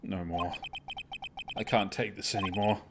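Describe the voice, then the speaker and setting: A man's voice: deep voice, steady tone, a little slow, vocal fry, slight background noise, exaggerated voice. A man voicing an anxious young defense lawyer in a live game dub, nervous, hesitant and exasperated. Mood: panicked, defeated. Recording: loud music under the voice.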